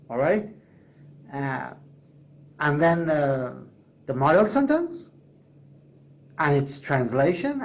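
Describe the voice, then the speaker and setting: Emphatic man pitching. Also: darkish tone, slight background noise. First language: Persian. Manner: emphatic and persuasive, self-correcting restarts. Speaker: course creator pitching a proposal. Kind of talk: solo spoken pitch to camera.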